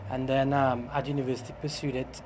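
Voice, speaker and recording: A man's voice: mid-range voice, flat delivery, quick pace, darkish tone, a little hiss or hum. A middle-aged man with a Trinidadian accent, explaining things informally as an interview guest, with some hesitation. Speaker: interview guest, language teacher and doctor.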